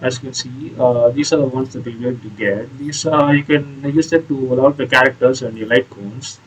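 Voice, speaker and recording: A man's voice: mid-range voice, brisk, slightly rough, rough recording. Hesitant man commenting on a game. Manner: hesitant, explanatory. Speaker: gaming video creator. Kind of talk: casual playthrough commentary.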